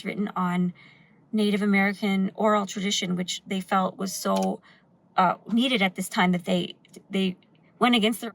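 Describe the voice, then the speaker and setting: A woman's voice: medium pitch, creaky. A woman talking earnestly and emphatically in a casual vlog monologue, speaking off the cuff. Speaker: video host.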